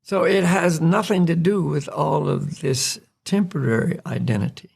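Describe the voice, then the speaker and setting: Man, from North Carolina, podcast host, gravelly, slightly high voice. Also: darkish tone. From North Carolina, USA. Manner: slow, reflective, explanatory. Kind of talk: spiritual discussion.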